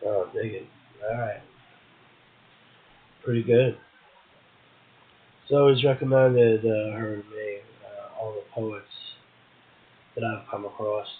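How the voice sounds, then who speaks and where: A man's voice: lowish voice, little pitch movement, slow, long pauses. A man hosting a poetry show, reading verse and prose aloud and commenting on it casually. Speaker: poetry podcast host, poet. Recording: muffled, tinny phone-like audio.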